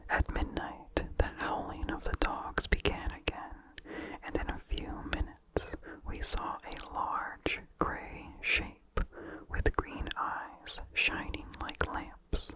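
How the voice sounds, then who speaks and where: A narrator reading a vintage horror story entirely in a breathy, hushed ASMR whisper, with deliberate phrasing. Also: poor audio.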